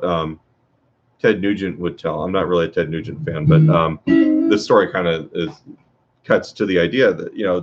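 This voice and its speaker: Low-voiced man, rambling guitar talk. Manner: rambling, many fillers and restarts. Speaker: guitar teacher. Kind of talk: casual workshop talk. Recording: dull lo-fi sound.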